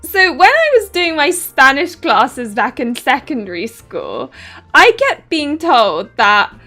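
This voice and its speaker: A woman's voice: high-pitched, sing-song, takes it slowly, pushed and bright. A woman with a southern English accent casually commenting on a game stream and reading its text aloud, laughing often and tripping over names. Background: faint game music.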